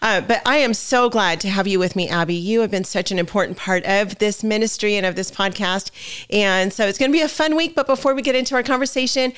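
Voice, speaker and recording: A woman hosting a podcast in a casual, effusive way, her voice raspy as she gets over a head cold. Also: medium pitch, quick pace, a bit bright. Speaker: podcast host. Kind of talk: casual, friendly chat.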